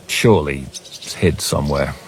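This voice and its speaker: Gruff, bossy band leader. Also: male voice, very deep voice, moderate pace, slight background noise, rough recording. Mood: commanding. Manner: sardonic, commanding. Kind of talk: video game character acting.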